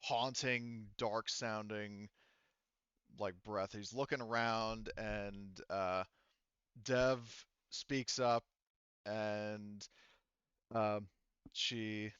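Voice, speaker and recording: A man's voice: lowish voice, steady tone, drawn-out words, pauses often, a bit bright, clear, resonant tone, no echo, highs cut off. A man running a tabletop game as game master, improvising narration and hesitantly searching for his words.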